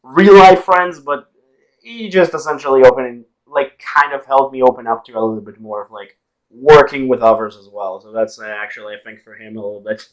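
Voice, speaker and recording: A man's voice: mid-range voice, a little fast, rough recording. Joking Israeli man hosting a chat. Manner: loose, joking, lots of fillers and restarts. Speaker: podcast host. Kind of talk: casual online chat.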